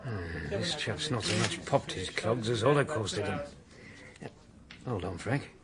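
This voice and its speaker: An actor playing a nosy British news reporter who trails the police with dry, sardonic wisecracks in a radio drama. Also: male voice, on the low side, lively intonation, a little slow, over a noisy crowd. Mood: wry, amused. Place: studio radio drama production.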